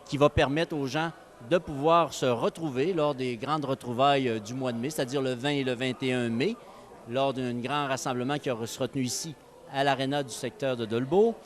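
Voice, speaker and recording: A man with a Québécois French accent, a local official giving a press announcement, pausing often with fillers. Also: medium pitch, steady tone. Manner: hesitant, many fillers.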